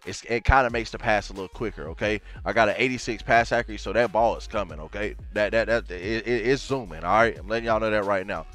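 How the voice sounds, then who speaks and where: A man's voice: lowish voice, fast, hardly any pauses, fairly bright tone, soft background music. Stuffy-nosed young man, laid-back gamer talk. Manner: laid-back, addresses viewers as y'all, fillers like man and bro. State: stuffy from a cold. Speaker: gaming YouTuber. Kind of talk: casual game walkthrough. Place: home gaming setup, gaming chair.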